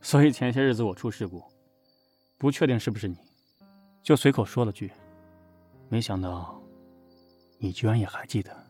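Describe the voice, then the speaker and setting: A man's voice: lowish voice, normal speed, long pauses, dark tone, breathy edge, faint music behind. A man in an audio drama playing a cold, jealous and possessive company boss with a curt, sardonic way of talking. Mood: probing, subdued. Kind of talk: amateur Chinese audio drama acting.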